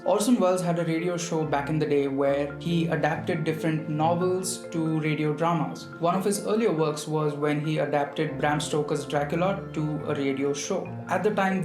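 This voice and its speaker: Roomy-sounding man narrating a video essay. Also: fairly high pitch. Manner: storytelling, addresses the viewer directly. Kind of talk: conversational scripted narration. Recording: roomy voice with background music.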